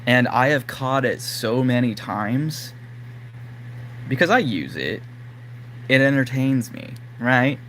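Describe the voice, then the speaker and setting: A man whose voice is going hoarse, talking casually and rambling to his live-stream audience with plenty of fillers. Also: medium pitch, animated, slow pace, pauses often, fairly bright tone, a little hiss or hum. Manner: rambling, lots of fillers like "like" and "you know". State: losing his voice, throat-clearing. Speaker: livestream host.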